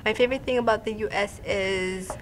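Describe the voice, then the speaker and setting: A woman's voice: mid-range voice, fairly even intonation, a little fast, slight background noise. A teenage girl from Kenya, being interviewed for a school TV show and answering with many hesitant ums. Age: late teens. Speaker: interviewed student.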